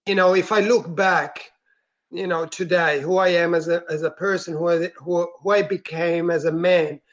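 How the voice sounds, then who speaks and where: A man from Switzerland speaks as an interview guest, his voice pitched high. He tells his personal story earnestly and reflectively, in a soft-spoken manner. The recording sounds dull and lo-fi. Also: steady tone, moderate pace.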